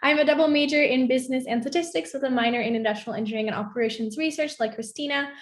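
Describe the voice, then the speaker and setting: High-voiced young woman in echoey room. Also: very fast. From Belgium. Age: young adult. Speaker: student panelist. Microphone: video call.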